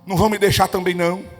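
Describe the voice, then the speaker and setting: A male evangelist leading a Pentecostal prayer, chanting, speaking in tongues and rising to fervent shouts. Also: high voice. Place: church. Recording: reverberant church hall with music.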